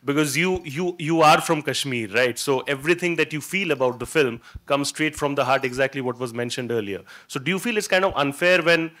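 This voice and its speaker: A man's voice: fairly high pitch, a little fast, hardly any pauses, fairly bright tone. A man putting questions as a journalist at a press conference. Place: indoor press event.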